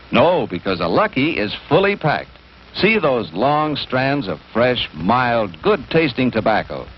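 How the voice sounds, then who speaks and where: An assured American pitchman sells in measured, emphatic phrases from a 1950s commercial. The pitch is highly animated, and the old broadcast is dull with faint noise. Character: smooth-selling, assured male 1950s cigarette pitchman. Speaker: commercial announcer. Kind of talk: 1950s cigarette commercial announcing.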